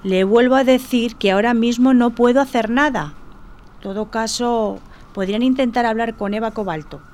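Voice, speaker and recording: Mujer haciendo de bibliotecaria agobiada y formal. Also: female voice, a little high, moderate pace, darkish tone, lightly acted. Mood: weary, firm. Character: harried, firm but courteous female librarian. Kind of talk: audio drama acting.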